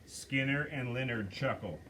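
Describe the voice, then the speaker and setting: A man reading stage directions aloud at a script table reading, slow and measured. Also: mid-range voice, a bit bright, slight background noise, rough recording.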